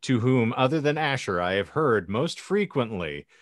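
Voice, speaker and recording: A man's voice: medium pitch, expressive, normal speed, no room sound, clean studio sound. A man hosting a podcast panel discussion, posing hypotheticals in elaborate, mock-formal phrasing. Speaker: podcast host.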